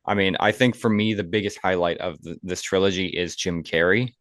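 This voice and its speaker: A man with a deep voice talks casually as a podcast co-host. He rambles through his points and stresses his opinions. The sound is close and dry, with very little room in it. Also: steady tone, fairly quick, a bit bright, dry recording.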